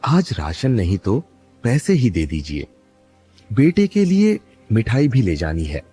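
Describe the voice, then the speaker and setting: Man acting a deep-voiced, earnest poor village labourer. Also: huge pitch swings, measured pace, warm tone, breathy edge, faint music behind, full character voice. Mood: pleading. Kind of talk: Hindi cartoon moral-story dubbing.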